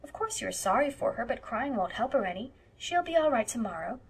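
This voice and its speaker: A woman voicing a gentle, earnest young woman with a proper, soft-spoken manner in an audiobook. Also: fairly high pitch, sing-song, fairly quick, husky, full character voice. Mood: soothing. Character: gentle, earnest, romantic young woman, fond elder guardian. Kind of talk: audiobook character voice.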